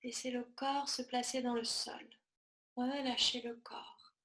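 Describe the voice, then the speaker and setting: A woman teaching yoga nidra, guiding a relaxation meditation in a soothing, measured manner with short, spaced-out phrases. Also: a little high, little pitch movement, fairly bright tone, echoey room.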